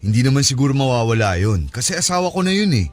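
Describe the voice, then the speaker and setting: An actor playing an evasive, weary married lover in a Tagalog audio drama, conversational and placating. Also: male voice, medium pitch, sing-song, fast talker, soft background music, natural voice. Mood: pleading, weary.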